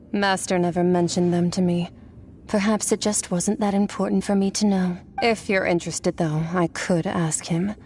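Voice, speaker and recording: A woman's voice: on the low side, fairly even intonation, measured pace, darkish tone, slight background noise. An actress playing a detached, enigmatic warrior woman in a video game, musing in a matter-of-fact way. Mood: unbothered. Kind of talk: video game character dialogue.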